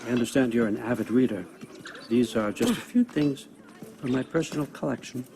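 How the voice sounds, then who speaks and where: A man's voice: medium pitch, fairly quick, noisy background, natural voice. An actor playing a sly, powerful older god-king in a video game, offhand and commanding. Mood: easygoing persuasion. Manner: offhand, wry, talking like a busy boss. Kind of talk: naturalistic video game cutscene acting. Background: background music.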